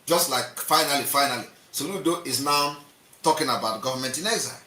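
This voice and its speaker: A man's voice: medium pitch, a little fast, pushed and bright, slight background noise. A man hosting a political livestream, defiant and piling up repeated rhetorical lists. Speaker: livestream host. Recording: roomy, distant from the mic.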